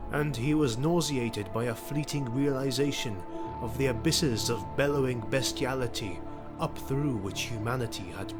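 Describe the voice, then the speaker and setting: A man narrating a dark fantasy tale in a grave, measured, ornate dramatic style. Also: mid-range voice, lightly acted. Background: background music.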